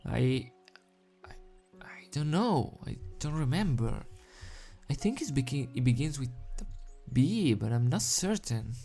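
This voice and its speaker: A confused male cartoon pony , read out by a man, speaks slowly and uncertainly. He hesitates like someone with no memory of himself, in a fan fiction story with faint music behind. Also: medium pitch, big pitch swings, warm tone. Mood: confused, hesitant.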